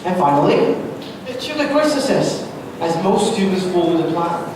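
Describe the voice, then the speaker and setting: A woman's voice: lowish voice, sing-song, normal speed. A woman presenting an event in a scripted discussion on stage. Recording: echoey hall, distant mic, noisy ambience.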